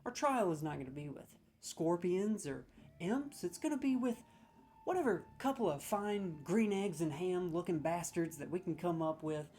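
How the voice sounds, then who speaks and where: A man hosting a comedic gaming video, talking in an excitable, rambling, sarcastic way and swearing freely. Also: high-pitched, slight background noise.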